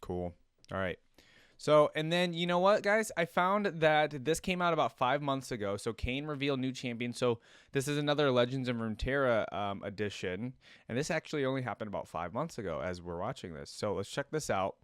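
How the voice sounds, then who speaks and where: A man's voice: mid-range voice, lively intonation, a little fast, fairly bright tone, smooth, dry recording, clean studio sound. A man giving casual, informal reaction commentary and chatting to his viewers. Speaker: reaction video host. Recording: music behind the voice. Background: game trailer music.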